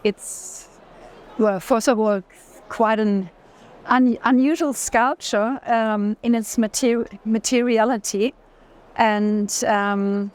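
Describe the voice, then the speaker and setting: A woman talks as a guest on a tour. Her delivery is unscripted and conversational, pausing often as she searches for words. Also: fairly high pitch, fairly even intonation, darkish tone. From Germany. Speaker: art collector, interview guest.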